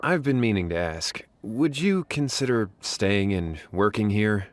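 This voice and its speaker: A man's voice: on the low side, expressive, unhurried, slight background noise. A man voicing an easygoing, appreciative tavern boss in a video game cutscene. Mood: pleased.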